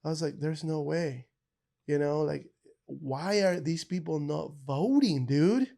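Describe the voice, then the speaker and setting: Guy from ecuador on a podcast, talks high and lively, lots of bro and dude. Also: unhurried, vocal fry. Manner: animated storyteller, says bro and dude a lot. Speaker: podcast guest. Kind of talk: casual podcast conversation.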